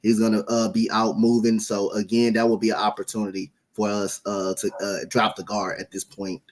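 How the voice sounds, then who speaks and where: A man's voice: fairly low pitch, flat delivery, normal speed. A man hosting a casual basketball podcast, laid-back and rambling through his opinions. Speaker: podcast host. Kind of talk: casual sports podcast chat.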